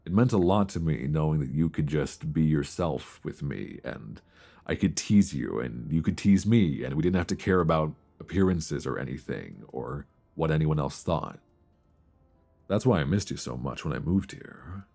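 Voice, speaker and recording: A man playing a tender, nervous longtime friend confessing love in an intimate ASMR romance roleplay, with a deep voice and hesitant, earnest delivery, close to a binaural mic. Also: lively intonation, vocal fry, exaggerated voice. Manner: hesitant, soft, earnest, with sighs and pauses. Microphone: close binaural microphone.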